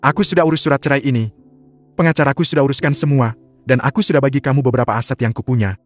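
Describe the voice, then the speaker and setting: A man voicing a cold, ruthless older crime boss who talks in a flat, curt way. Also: medium pitch, very fast, voice pushed up, close to an everyday voice. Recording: slightly muffled, soft music behind.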